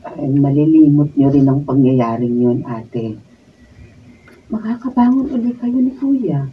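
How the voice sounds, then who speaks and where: A kindly shopkeeper mother talks slowly and gently, with sympathetic exclamations. It is radio drama acting, heard through a slightly muffled mic. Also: sits low, animated, far from the mic, a little hiss or hum. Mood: consoling. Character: kind, devout, forgiving mother and shopkeeper. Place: radio drama recording session.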